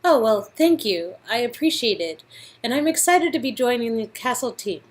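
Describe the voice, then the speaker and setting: A woman's voice: fairly high pitch, animated, normal speed, natural voice. A woman playing a polite, plucky young aspiring actress in a comic dialogue reading.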